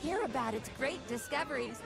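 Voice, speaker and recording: A woman's voice: high voice, animated, a little fast, gravelly, loud music behind the voice, heavily acted. A woman voicing a cheerful, unflappable robot guide in a video game, formal and courteous as she instructs. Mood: ceremonious. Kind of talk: scripted video game announcements.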